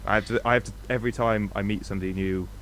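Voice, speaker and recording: A man from Scotland without a Scottish accent, talking excitedly and swearing in a casual podcast chat. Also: fairly low pitch, racing, slight background noise. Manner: animated, swears, repeats himself. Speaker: podcast co-host. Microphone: podcast microphone.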